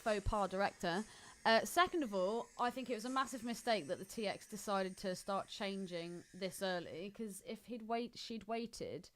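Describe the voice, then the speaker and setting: A woman's voice: medium pitch, expressive, normal speed, few pauses, a bit bright. A woman co-hosting a podcast, casually recapping a film and critiquing it with blunt opinions. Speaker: podcast co-host.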